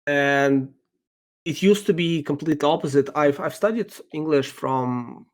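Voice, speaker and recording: Halting Russian-native man, thinking aloud. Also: fairly high pitch. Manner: thoughtful, halting, many ums and restarts. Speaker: podcast guest, software engineer. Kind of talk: casual interview answers.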